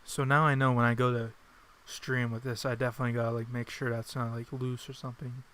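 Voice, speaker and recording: Casual male streamer, soft and hesitant, lots of "uh", mid pitch, home desk mic. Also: little pitch movement, quick pace. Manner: soft-spoken, hesitant, many fillers. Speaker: game streamer. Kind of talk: casual live-stream chat while playing. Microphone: desk microphone on a computer.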